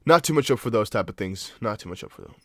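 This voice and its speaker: A young man whose first language is Dutch, speaking English with a Dutch accent. He hosts a casual crypto livestream, chatting loosely, reading chat aloud and thinking out loud with lots of fillers. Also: on the low side, animated, fast, no echo, clean studio sound. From Netherlands. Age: young adult. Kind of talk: casual livestream chart analysis.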